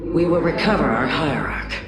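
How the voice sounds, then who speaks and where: Proud stern alien matriarch for a game, low voice, echoey, loud music under it. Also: female voice, animated, normal speed. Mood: grave, resolute. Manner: solemn, authoritative. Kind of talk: dramatic video game voice acting.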